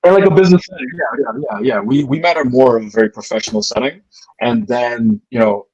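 Husky man rambling on a podcast. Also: medium pitch, quick pace, darkish tone, lo-fi sound. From Canada. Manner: rambling, heavy with fillers and repeats. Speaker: podcast co-host. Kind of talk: casual podcast chat.

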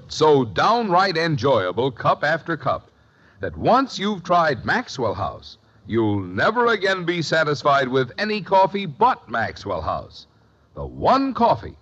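Male old-time radio pitchman, mid-pitched with huge swoops, slightly husky, dull archival sound. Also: a bit bright. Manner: emphatic, polished sales delivery. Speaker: radio commercial announcer. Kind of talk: vintage scripted radio advertising. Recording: dull vintage radio recording.

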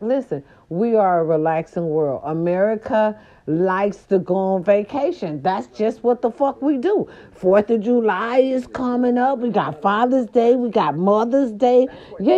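Chatty, animated grandma vlogger. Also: female voice, on the high side. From California. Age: older. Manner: animated, chatty, salty slang, lots of "right, right" fillers. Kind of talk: casual monologue to viewers. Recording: dull lo-fi sound.

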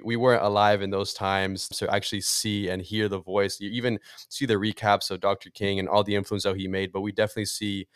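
A man's voice: deep voice, smooth, dry recording, clean studio sound. A young man from Miami, whose first language is Portuguese, hosting a podcast in a chatty, enthusiastic way with many fillers. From Miami, USA. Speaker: podcast host. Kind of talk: casual interview conversation.

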